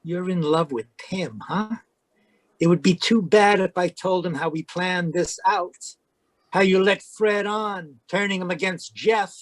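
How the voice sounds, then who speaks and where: A man's voice: sits high, measured pace, dry recording. A man playing a pushy, slick, scheming villain in an old-time radio drama. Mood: menacing. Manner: slick, menacing, calls woman baby. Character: scheming, pushy male villain in frontier melodrama.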